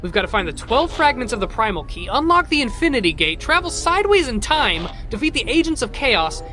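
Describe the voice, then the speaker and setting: A man plays an excitable little robot who can't stop talking, rattling off ideas and jokes. His voice is bright and springs up and down all over the place, with faint music behind. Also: very high-pitched, heavily acted. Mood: excited. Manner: rapid, chirpy, rambling, joking. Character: chatty excitable robot bartender, male. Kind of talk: fan audio drama acting.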